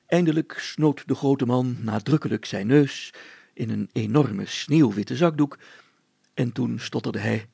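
Male storyteller, heavily creaky, husky, dry close sound, deliberate children's story narration. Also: mid-range voice, dark tone, no echo.

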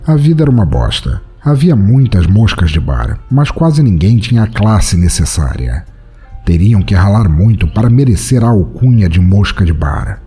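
Husky Brazilian man narrating, expressive pitch. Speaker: podcast host and narrator. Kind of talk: scripted dramatic narration. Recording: soft music behind.

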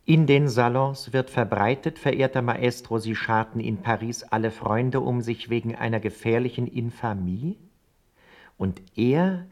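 A man's voice: medium pitch, measured pace, a little mellow, natural voice. An actor playing an obsequious, prying 19th-century informant, narrating his formal written report in a German radio play. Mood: servile, gossipy. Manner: formal, courtly, storytelling.

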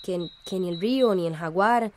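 A woman's voice: mid-range voice, moderate pace, slight background noise, close to an everyday voice. An actress in a radio drama playing an angry, grieving young village woman with a Central American accent, speaking emotionally. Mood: frustrated. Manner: emotional, exasperated.

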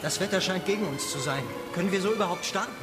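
A man's voice: sits high, quick pace, loud music behind the voice, close to an everyday voice. An actor playing a wary, resolute male organiser among spaceship fugitives in a radio drama. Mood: worried. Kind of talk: German radio drama acting.

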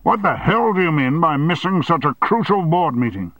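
A man's voice: fairly low pitch, sing-song, normal speed, slightly rough, dry recording, heavily acted, low-quality recording. A man voicing a blustering, domineering male media tycoon who bellows his orders. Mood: angry. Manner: bellowing, brusque.

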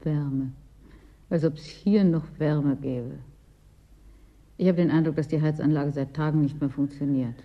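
A woman playing a weary, brooding office superior in a German radio drama, speaking pensively and haltingly. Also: deep voice, fairly even intonation, muffled recording.

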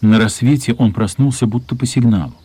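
A man's voice: mid-range voice, fairly quick, warm tone, slightly rough. A man narrating a literary radio play as a scripted storyteller.

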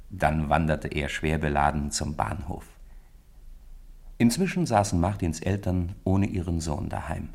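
A man's voice: extremely low pitch, creaky, a little mellow, slightly rough. A man giving scripted literary narration as the storyteller of a radio play.

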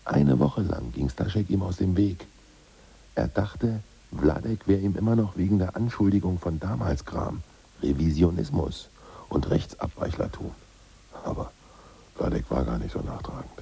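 A gravelly old ousted party boss. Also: male voice, low-pitched, lively intonation, moderate pace, full character voice. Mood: reminiscing. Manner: hushed, conspiratorial whispering. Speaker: ousted Politburo member. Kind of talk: 1980s radio play acting.

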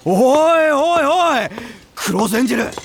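A man's voice: extremely high pitch, lively intonation, slow, creaky, a little hiss or hum, exaggerated voice. A man playing a brash, easily impressed young teammate in an anime audio drama, exclamatory and teasing.

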